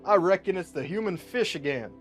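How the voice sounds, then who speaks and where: A cocky gunslinger from a superhero tale played by a man. He talks in a folksy, self-assured way at a fairly high pitch. Music plays behind the voice. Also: lively intonation, a little fast. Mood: laconic.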